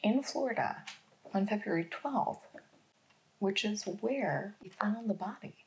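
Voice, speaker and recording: A woman playing a calm, patient detective in a soft-spoken ASMR roleplay, often dropping into a whisper as she gently probes and reassures. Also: medium pitch, a little slow, slight background noise, rough recording. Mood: quietly accusing.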